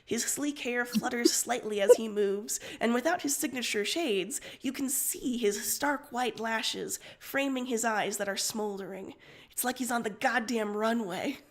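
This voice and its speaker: A woman reading fan fiction aloud on a livestream in an expressive, informal way. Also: fairly high pitch, unhurried. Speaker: story reader on a livestream.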